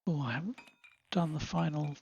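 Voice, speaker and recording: A man voicing a confident jungle man who thinks of himself as British, with a British accent and a husky voice, talking in a chatty, understated way and muttering asides as he plays a game. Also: on the high side, normal speed, a little mellow, muffled recording. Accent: British English. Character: confident jungle man Tarzan turned proper British gent. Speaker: gameplay commentator in character. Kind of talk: in-character gameplay narration.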